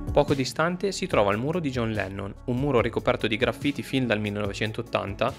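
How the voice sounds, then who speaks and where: A man's voice: lowish voice, gravelly, a bit bright. A man, a travel vlogger reading a scripted travel narration.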